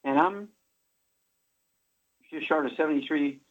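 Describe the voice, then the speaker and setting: A man in his seventies hosting a radio show, rambling through a supplement pitch and correcting himself as he goes. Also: measured pace. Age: 70s. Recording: muffled radio broadcast.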